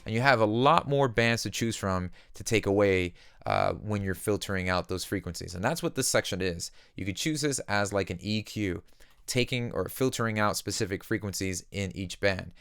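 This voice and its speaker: Male tutorial host, smooth lowish voice, plain explanatory delivery, very dry close recording. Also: normal speed, a bit bright, no room sound. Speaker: music production instructor. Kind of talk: conversational software tutorial.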